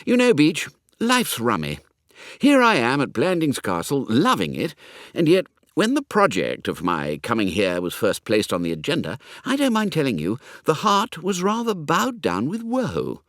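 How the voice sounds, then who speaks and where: A man voicing a breezy, chatty young gentleman with an upper-class English accent and slangy manner in a comic audiobook. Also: a little high, wildly swooping pitch, moderate pace, no echo, studio quality, pitched above the performer's own voice, heavily acted. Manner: effusive, slangy, blithe. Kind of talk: comic audiobook character acting.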